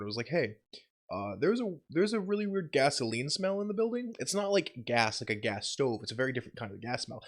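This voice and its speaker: A young man sick with a cold, his voice deeper than usual, telling a rambling vlog story with lots of swearing and laughter. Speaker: channel host. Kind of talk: casual vlog update.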